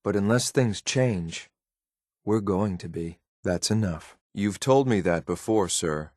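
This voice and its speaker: Stern low-voiced synth enforcer. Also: male voice, slow pace, darkish tone, dry recording, studio quality. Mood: stern, disappointed. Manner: clipped, formal, deferential military address. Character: stern, loyal male synth enforcer, coolly disciplined. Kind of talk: video game companion dialogue.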